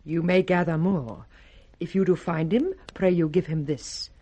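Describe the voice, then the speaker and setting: A woman's voice: on the low side, steady tone, a little slow, mellow, husky. An actress playing a cold, jealous, scheming noblewoman, commanding and insinuating, with a British accent in 1960s radio Shakespeare.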